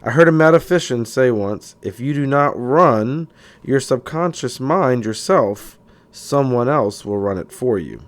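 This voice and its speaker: A man narrates a spiritual self-help book as an audiobook. His delivery is deliberate and declarative, with pitch that moves up and down in a lively way. He sometimes trips on a word and reads it again.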